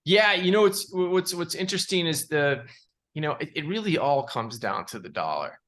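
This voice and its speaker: A man giving unscripted analysis as a market analyst, in a halting way, repeating words and padding with "you know". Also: a little high, lively intonation, a bit bright. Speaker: market analyst presenting charts. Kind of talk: unscripted financial chart commentary.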